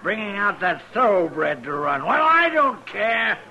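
An actor playing a prickly, drunken old rancher in a western radio drama, with slurred, stubborn speech. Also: male voice, high-pitched, big pitch swings, a little slow, gravelly, bright, forceful tone, lo-fi sound, full character voice. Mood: boastful, defiant. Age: older. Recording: old radio recording with crowd noise.

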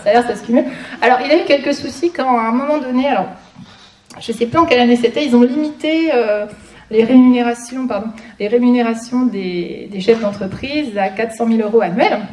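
A woman's voice: a little high, fairly quick, a little mellow. A woman giving an informal public lecture as a guest speaker, with wry, sarcastic asides. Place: conference room before an audience. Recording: echoey room, distant mic, noisy.

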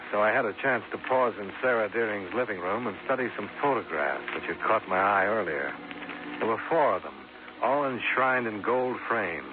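A cool, persistent insurance investigator, played by a man in 1950s radio detective style. His lowish voice is clipped and dry as he questions and narrates. The broadcast is thin and clearly noisy. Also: narrow, tinny audio, natural voice. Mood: narrating. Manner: clipped, dry, matter-of-fact. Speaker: lead and narrator.

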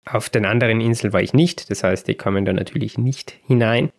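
A man's voice: fairly low pitch, fairly quick, vocal fry. Easygoing male podcast host. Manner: relaxed storytelling with occasional laughs and hesitations. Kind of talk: casual podcast chat.

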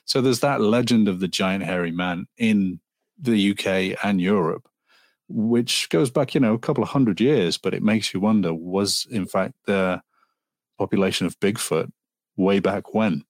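A man in his forties with a Liverpool accent and a low, deep voice, telling old folk legends as a guest. He talks at an easy pace, loops back with ums and restarts, and the sound is dry and close with no room behind him. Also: unhurried, no echo. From Liverpool, England. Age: 40s. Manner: storytelling, with frequent ums and restarts. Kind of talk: conversational interview.

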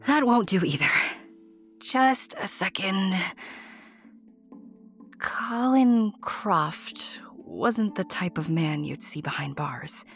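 A woman narrating a scripted story straight to the listener in a playful, intimate, theatrical way, often hushed. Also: medium pitch, slow pace, soft background music.

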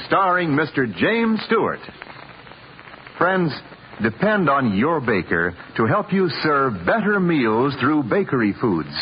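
Animated American radio announcer, vintage sound. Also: male voice, medium pitch, a little hiss or hum. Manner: polished, emphatic delivery. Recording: muffled old radio recording.

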